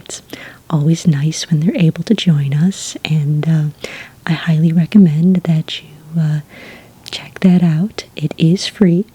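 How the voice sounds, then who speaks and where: Woman, ASMR roleplay, friendly desk-clerk witch, whispery, very husky breath, mellow, polite customer-service manner. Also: sits low, rough recording.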